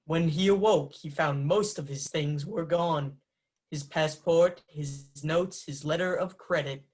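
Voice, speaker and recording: Male narrator, radio play, slow pace, slightly high voice. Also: a little mellow. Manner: slow, announcer-like delivery.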